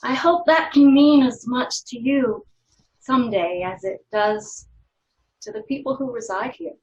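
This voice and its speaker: A woman reading the part of a stern, formal law office official in a script table read, speaking deliberately with long pauses. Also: fairly high pitch, a little mellow, muffled recording, close to an everyday voice. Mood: grave, firm.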